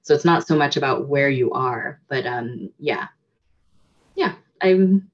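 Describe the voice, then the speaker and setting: A woman with a deep, low voice tells her story as an interview guest, reflective and full of fillers and restarts. The audio is dull and lo-fi, like a video call. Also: animated, takes it slowly. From United States. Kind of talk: casual interview.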